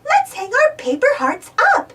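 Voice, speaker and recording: An excitable little mouse girl, acted out by a woman, playful and squealing in a very high, bouncy storybook voice. The sound sits slightly away from the mic. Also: measured pace, distant mic, full character voice. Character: excitable little twin girl cartoon mice. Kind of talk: children's storybook character voice.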